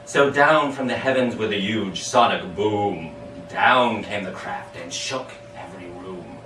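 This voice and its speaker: A man giving an expressive, dramatic recital of storytelling verse in a sing-song rhyming rhythm. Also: on the low side, a little slow. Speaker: amateur poet reading their own poem. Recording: echoey room, distant mic, background hiss.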